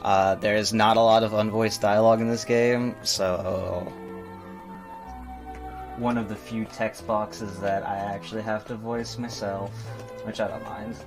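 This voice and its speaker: Casting: male game streamer, fairly low voice, casual halting explanations, faint music underneath. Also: flat delivery. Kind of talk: casual live game commentary.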